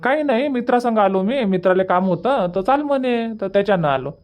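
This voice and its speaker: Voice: medium pitch, expressive, a little fast, a little mellow, close to an everyday voice. A performer voicing a polite, busy young village man in a Marathi cartoon comedy, with a Varhadi accent and a respectful, coaxing manner. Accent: Varhadi Marathi from Vidarbha.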